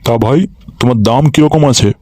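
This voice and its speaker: A man's voice: medium pitch, fairly quick, heavy background noise, lightly acted. A man voicing an eager, gullible older villager in a comic cartoon, chatty and exclaiming, repeating his words. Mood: curious, delighted.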